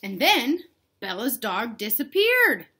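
A woman's voice: a little high, very animated, takes it slowly, pushed and bright, husky. A young woman reading a picture book aloud to young children, expressively, with simple questions for the listeners. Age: young adult. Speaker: children's read-aloud host, teacher. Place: living room at home, indoors.

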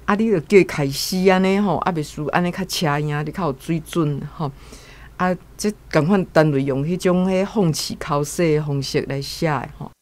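A Taiwanese woman with a low voice gives casual commentary as a guest. She explains in a chatty way, dotting her phrases with tag particles. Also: moderate pace, a little mellow.

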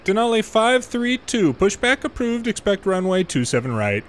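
Higher-pitched man calling out air traffic instructions. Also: big pitch swings, a bit bright. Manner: measured procedural callouts, muttered casual asides. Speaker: gamer and former air traffic controller. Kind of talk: gameplay commentary with air traffic control radio phraseology. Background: game airport ambience.

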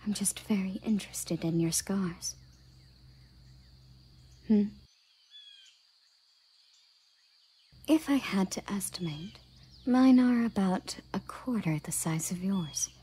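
A woman playing a haughty, curious elf warrior in a roleplay audio drama, speaking softly and formally, often near a whisper. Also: medium pitch, lively intonation, a little hiss or hum, close to an everyday voice. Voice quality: soft, breathy, often whispery. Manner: formal, measured phrasing.

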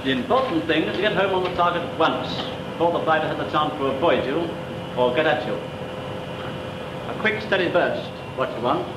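A dry, practical gunnery instructor, played by a man, corrects trainees in a matter-of-fact tone. His voice sits a little high, with roomy echo and steady hiss from the old soundtrack. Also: normal speed, lightly acted. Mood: instructive. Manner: matter-of-fact, mildly reproving. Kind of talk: 1940s training film acting. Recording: echoey, hissy 1940s film soundtrack.

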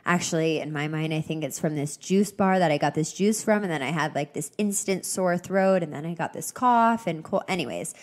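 A young woman talks casually on her own podcast, upbeat and rambling with lots of little fillers. Her voice sits a touch low and comes out slightly nasal and congested, as if she is getting over a cold. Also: brisk, hardly any pauses. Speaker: podcast host. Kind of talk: casual solo podcast chat.

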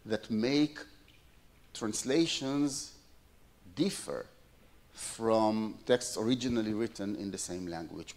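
Hebrew-native middle-aged male academic, hesitant lecture delivery, many "um"s, hall mic. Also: medium pitch. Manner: hesitant, many fillers and restarts. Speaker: plenary speaker. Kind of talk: conference lecture.

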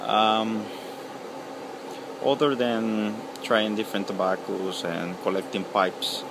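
A man in his thirties, from El Salvador, giving a halting, casual video reply outdoors beside a house, with lots of ums and uhs. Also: fairly low pitch, level tone, noisy background. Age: 30s. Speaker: pipe-smoking video blogger. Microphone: webcam at close range.

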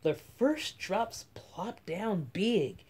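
A middle-aged woman reading a children's picture book aloud as story time host, with dramatic, drawn-out emphasis. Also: medium pitch, big pitch swings, darkish tone, breathy edge. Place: small room at home.

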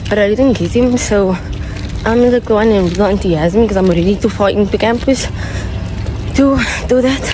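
A woman's voice: mid-range voice, a little fast, noisy background. A young woman chatting casually to her vlog as a student, in a slangy, informal way with swearing. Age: young adult. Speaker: student vlogger.

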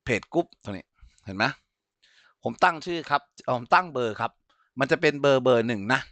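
A man giving an informal software tutorial as an instructor, explaining step by step and often checking that listeners follow. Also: mid-range voice, expressive, moderate pace, dry recording.

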